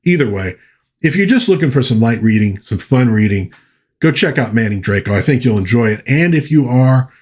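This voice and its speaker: A man's voice: mid-range voice, animated, a little fast, a little mellow. A man hosting a casual review of old pulp fiction, talking conversationally to viewers as an enthusiastic fan and often correcting himself as he rambles. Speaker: host of a pop culture channel reviewing old pulp fiction. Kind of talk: casual rambling book review to viewers, with short read-aloud passages.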